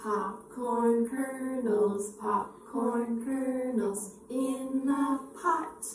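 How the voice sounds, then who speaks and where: A woman's voice: a little high, very slow, soft background music. A woman presenting a children's storytime, singing and introducing simple action songs with repetitive phrasing meant for young children. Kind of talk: upbeat children's singalong with short spoken introductions. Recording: echoey room, distant mic, faint noise.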